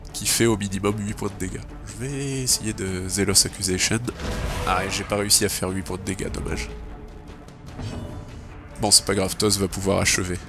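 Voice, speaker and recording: A man narrates his game run in a relaxed, thinking-aloud way, full of fillers and stray English gaming terms. His voice is low and gravelly, a little stuffed up from a cold. Game music plays clearly underneath. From French-speaking. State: has a cold. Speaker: let's play gamer. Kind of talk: casual gameplay commentary.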